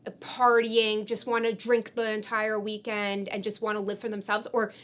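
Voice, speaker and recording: A woman's voice: medium pitch, completely flat delivery, moderate pace, a bit bright. A woman, co-hosting a podcast in an emphatic, informal, opinionated way, often saying "like". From San Francisco Bay Area, United States. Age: 20s. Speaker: podcast co-host. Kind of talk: opinionated conversational podcast talk.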